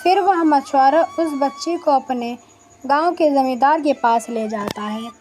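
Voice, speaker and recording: A woman's voice: high voice, unhurried, darkish tone, slight background noise. A woman narrating a scripted moral folk tale as a storyteller.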